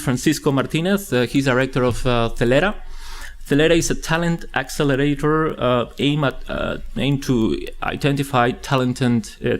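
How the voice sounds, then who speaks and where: A man presenting a conference panel, introducing the speakers with frequent hesitations and fillers. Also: medium pitch. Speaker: event presenter. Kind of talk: event introduction.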